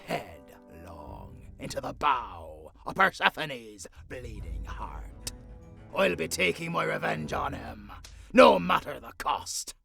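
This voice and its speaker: An actor playing a blustering English gentleman pirate with a rough, gravelly voice, in theatrical audio roleplay style. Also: medium pitch, wildly swooping pitch, slow pace, faint music behind, exaggerated voice. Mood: vengeful. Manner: theatrical, swaggering, archaic pirate talk. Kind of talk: cinematic audio roleplay acting.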